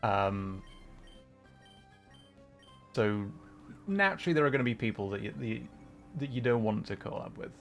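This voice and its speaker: A man's voice: on the low side, lively intonation, normal speed, long pauses, fairly bright tone, soft background music. A man hosting a livestream, chatting casually between bits of game commentary in a hesitant, thoughtful, self-effacing way. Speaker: livestream host, VTuber gamer.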